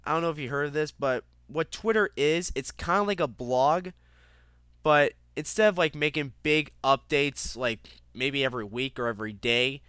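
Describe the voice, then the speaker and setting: A man's voice: fairly high pitch, normal speed, fairly bright tone, clear, resonant tone, no echo, studio quality. A young man hosting his own podcast, talking casually with lots of fillers and restarts, congested from a cold. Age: young adult. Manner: rambling, many fillers and restarts. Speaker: podcast host. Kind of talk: casual solo podcast monologue.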